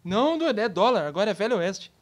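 A woman's voice: mid-range voice, sing-song, quick pace. A woman voicing a boastful young male cowboy with a Brazilian accent in a cartoon comedy. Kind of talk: cartoon comedy sketch acting.

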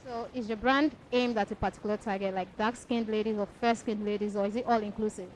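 A woman's voice: mid-range voice, steady tone, quick pace, a little mellow, rough recording. A young woman with a Nigerian accent interviewing people on a handheld mic at a fair. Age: young adult. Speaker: interviewer, reporter. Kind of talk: vox-pop interview at a fair. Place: outdoor market fair under tents. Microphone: handheld reporter microphone. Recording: busy outdoor crowd noise.

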